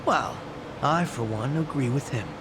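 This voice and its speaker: An actor playing a streetwise, principled former gang boss in a video game, casual and persuasive over background noise. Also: male voice, mid-range voice, unhurried, lightly acted. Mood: firm, persuasive. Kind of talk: English video game voice acting.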